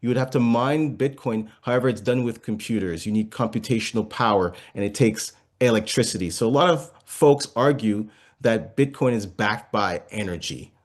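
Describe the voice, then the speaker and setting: A man's voice: on the low side, normal speed, few pauses. A man as an interview guest, explaining patiently, with frequent fillers and restarts. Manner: explains patiently, many fillers and restarts, asks listeners if it makes sense. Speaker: guest investment speaker.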